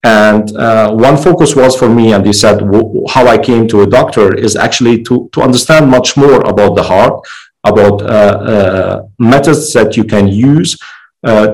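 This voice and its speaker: A man from Lebanon answering interview questions about his career, speaking haltingly with many fillers and restarts. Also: fairly low pitch, poor audio. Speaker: regulatory consultant, guest.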